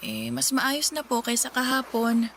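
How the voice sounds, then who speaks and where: A woman's voice: fairly high pitch, steady tone, unhurried, gravelly, a bit bright. A woman playing a sick, weary young female office worker confiding in others in a Tagalog radio drama. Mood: weary, puzzled. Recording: some noise behind the voice.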